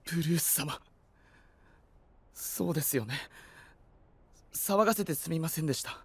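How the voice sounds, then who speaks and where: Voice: medium pitch, lively intonation, moderate pace, slightly rough, no room sound. An actor playing a frustrated, hot-tempered young male musician in an audio drama, emotional and boyish. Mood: upset, apologetic. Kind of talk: Japanese anime-style audio drama acting.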